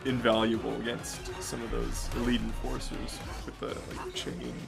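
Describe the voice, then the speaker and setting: A man's voice: mid-range voice, level tone, moderate pace, creaky, loud music behind the voice. A man chatting casually as co-host, commenting on a video game that someone else is playing. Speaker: co-host watching the gameplay.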